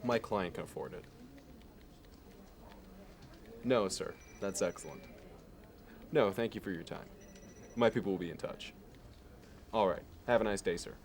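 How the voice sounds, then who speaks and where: Casting: man performing slick Wall Street dealmaker for ASMR roleplay, lowish voice, fussy polish, salesman's condescension, noticeable noise behind. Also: moderate pace, long pauses. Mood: polite, businesslike. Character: smooth, obsessive, status-minded male Wall Street banker.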